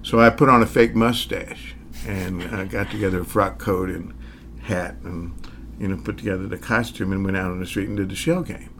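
Man, sixties, magician, hesitant, reflective, somewhat low voice, faint hiss behind. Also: fairly even intonation, fairly bright tone. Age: 60s. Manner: hesitant, many pauses and fillers; reflective, chuckles as he talks. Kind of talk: relaxed storytelling in an interview.

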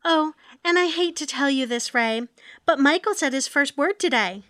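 Woman reading as a high-voiced sarcastic sitcom wife. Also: moderate pace, bright, forceful tone, no room sound, clean studio sound. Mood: teasing. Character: animated sitcom wife and mother, sarcastic with husband. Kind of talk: sitcom script table read.

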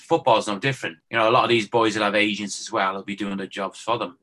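A man's voice: on the low side, steady tone, fast, a bit bright. An Irish man, a former footballer, chatting casually and joking as a guest on a phone-in show. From Ireland. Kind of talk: casual chat.

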